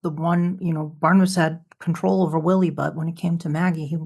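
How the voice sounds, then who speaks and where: A man's voice: fairly high pitch, level tone, fast talker, gravelly, darkish tone, breathy edge. A man hosting a podcast, chatting casually with the guest he interviews.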